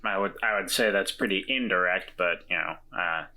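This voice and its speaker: Guy playing a tabletop game, lowish voice but bright and punchy, kinda hesitant. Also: normal speed, a little hiss or hum. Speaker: player. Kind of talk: in-character tabletop play.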